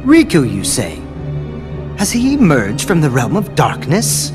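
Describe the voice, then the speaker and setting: A cold scheming scholar. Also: male voice, on the high side, wildly swooping pitch, over music. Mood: condescending. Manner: measured, riddling, superior. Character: scheming robed male scholar, cold and condescending. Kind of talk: video game cutscene dialogue.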